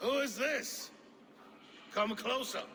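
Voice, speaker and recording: An actor playing a boastful, domineering Viking warlord in a video game, commanding and mocking in manner. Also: male voice, very high voice, slow, pushed and bright, exaggerated voice. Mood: imperious.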